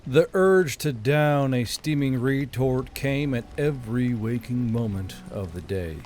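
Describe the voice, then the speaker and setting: A man's voice: medium pitch, measured pace, a little mellow, a little hiss or hum. A man reading scripted fiction as an audiobook narrator. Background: background music.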